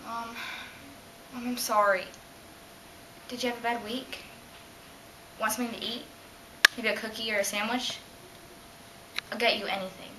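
A woman's voice: fairly high pitch, lively intonation, moderate pace, hardly any pauses, close to an everyday voice. A thirteen-year-old girl acting an emotional, hurt teenage daughter in a dramatic stage monologue. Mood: pleading. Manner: emotional, earnest. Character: hurt, pleading teenage daughter of a drunk mother. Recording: echoey noisy room, distant mic.

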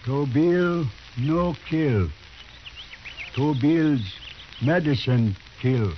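Slow-spoken proud, stoic man. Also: on the high side, lively intonation. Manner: broken, clipped English in short phrases. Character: proud, stoic Native man accused of murder. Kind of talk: 1950s radio western acting. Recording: dull, noisy 1950s radio recording.

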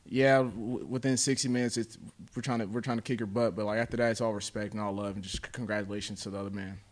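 Lowish-voiced young American athlete. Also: male voice, fairly even intonation, quick pace. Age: 20s. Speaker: college football player. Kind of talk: press conference answers. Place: indoor press conference room. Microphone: table microphone.

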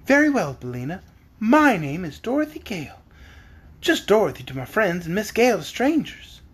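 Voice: mid-range voice, big pitch swings, normal speed, breathy edge. A reader voicing an earnest, slightly prim young country girl in a storybook, curious and polite. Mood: polite, friendly.

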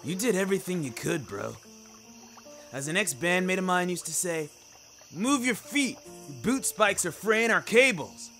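A man's voice: high voice, animated, measured pace, sharp tone, faint music behind, pitched above the performer's own voice, exaggerated voice. A man voicing an upbeat werewolf rocker in an audio story, with exuberant, slangy bro talk. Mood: reassuring, upbeat. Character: upbeat dude-talking young male werewolf rocker.

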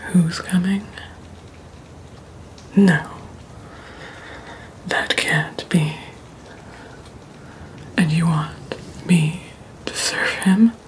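A young woman playing a polite, poised vampire receptionist at a blood bank in a soft, breathy, deliberate ASMR roleplay. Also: fairly low pitch, steady tone, slight background noise, rough recording. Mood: hushed, uneasy. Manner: slow, deliberate, hushed, formal.

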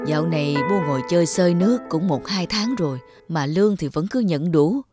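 A fairly low voice for an old cartoon father , acted by a woman. He is conscientious and troubled, speaking plainly and reflectively. Music plays loudly behind him. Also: expressive, a little fast, close to an everyday voice. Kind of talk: animated moral-story dialogue.

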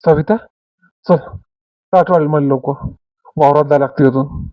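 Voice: medium pitch, fairly even intonation, moderate pace, no room sound, muffled recording, lightly acted. A performer voicing a resigned, grumbling farmer husband in a family comedy cartoon. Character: weary, resigned village farmer husband and father.

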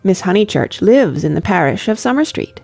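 A woman narrator gives voice to a prim spinster chaperone in a classic novel. She is restrained and formal, quietly controlling, with a mellow, creaky tone. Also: medium pitch, big pitch swings, moderate pace, soft background music, heavily acted.

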